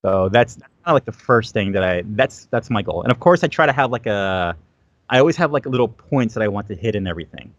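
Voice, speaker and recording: A man's voice: fairly low pitch, animated, quick pace, dry recording, lo-fi sound. A man talking as a guest in a casual interview, rambling and repeating his words with many fillers. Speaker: guest content creator.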